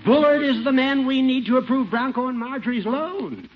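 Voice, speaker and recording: An American actor playing an anxious, cautioning old judge with a fussy, urgent manner in 1950s radio comedy. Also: male voice, very high-pitched, moderate pace. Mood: reproachful. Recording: muffled, noisy, echoey 1950s radio.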